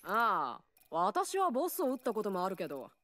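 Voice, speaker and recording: A woman's voice: mid-range voice, huge pitch swings, normal speed, a bit bright, a little hiss or hum. An actress playing a cocky, brash young woman jet pilot in a video game, taunting and tomboyish.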